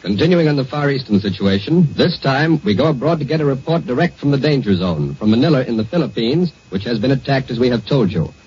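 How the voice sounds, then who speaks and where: A man's voice: mid-range voice, lively intonation, quick pace, few pauses, darkish tone, slight background noise. A man formally reading a scripted news introduction as an anchor on 1940s radio. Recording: muffled 1940s radio broadcast.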